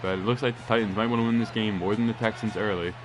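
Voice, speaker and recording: A man with a lowish, stuffed-up, nasal voice calls a football video game in a loose, rambling way. His voice jumps up on the big plays. There's clear noise underneath the recording. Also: fairly even intonation, fast. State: congested, nasal. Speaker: gaming commentator.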